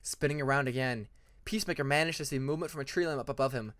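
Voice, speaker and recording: A man's voice: medium pitch, racing, a bit bright, dry recording. A man narrating scripted fiction as an audiobook reader, with a dramatic storytelling manner.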